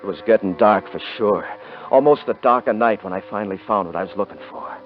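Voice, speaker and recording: A brash, boastful frontier hunter, voiced by a man, talking in rough country grammar. His voice is deep and low. It comes through muffled old radio sound with music underneath. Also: fast, close to an everyday voice. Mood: grim narration. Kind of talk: 1950s radio drama acting and narration. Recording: muffled vintage radio recording.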